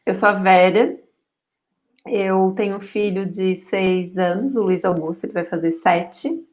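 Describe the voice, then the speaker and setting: A woman's voice: medium pitch, steady tone, a little slow. Woman around 40s on a video call, muffled lo-fi sound, easygoing talk. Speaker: guest, marketing professional. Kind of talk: webinar conversation. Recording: slightly dull video call audio.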